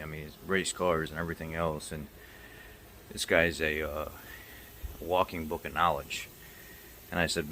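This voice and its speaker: Low-pitched male mechanic, middle-aged, off-the-cuff and emphatic, with noticeable background noise. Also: fairly bright tone. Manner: emphatic, repeats points for stress. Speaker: car maintenance video host, mechanic. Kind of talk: casual unscripted monologue recap.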